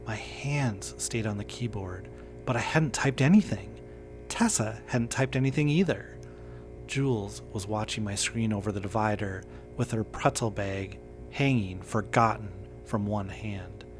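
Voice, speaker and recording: A man reading a scripted first-person horror story in a measured, understated way. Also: lowish voice, soft background music. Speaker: narrator.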